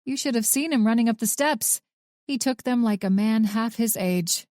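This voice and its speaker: A woman's voice: on the high side, moderate pace, darkish tone, dry recording, clean studio sound, close to an everyday voice. A woman voicing a tense young female agent who talks in a clipped, demanding way.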